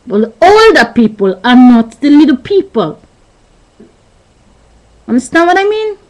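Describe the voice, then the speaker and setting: High-voiced woman lecturing insistently to webcam. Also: sing-song, measured pace, low-quality recording. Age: 30s. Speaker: vlogger. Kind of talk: rambling philosophical webcam monologue. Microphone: webcam mic.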